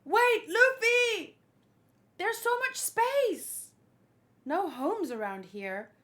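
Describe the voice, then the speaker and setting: Woman reading startled, high-pitched young pirate crewmate. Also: big pitch swings, drawn-out words, a bit bright, heavily acted. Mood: wary. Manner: questioning, exclaiming. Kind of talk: acted manga read-along.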